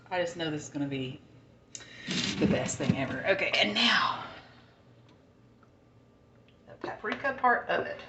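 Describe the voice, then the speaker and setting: A woman's voice: mid-range voice, lo-fi sound, background noise. Chatty Southern woman cooking in an echoey kitchen. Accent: American Southern. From American South. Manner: chatty, thinks aloud, talks to the food. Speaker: home cook. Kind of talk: casual cooking vlog chat. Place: home kitchen.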